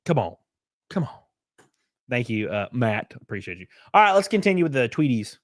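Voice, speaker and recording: A man's voice: medium pitch, sing-song, normal speed, dry recording, clean studio sound. A man streaming casual commentary, reading chat messages aloud and rambling with fillers, a bit sniffly. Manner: rambling, lots of fillers and restarts.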